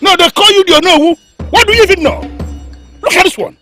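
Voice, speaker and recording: An actor playing a domineering, hot-tempered king who shouts indignantly. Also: extremely high pitch, a little fast, faint music behind. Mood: furious. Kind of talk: film drama acting.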